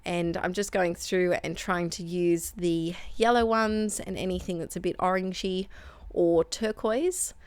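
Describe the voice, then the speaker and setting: Aussie woman doing a craft voiceover, kinda hoarse, lowish voice, dry close sound, rambly. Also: fairly even intonation, measured pace, dry recording. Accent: Australian. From Australia. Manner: chatty, self-correcting, rambling asides. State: losing her voice, throat sore. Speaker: scrapbooking channel host. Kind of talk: voice-over craft process narration.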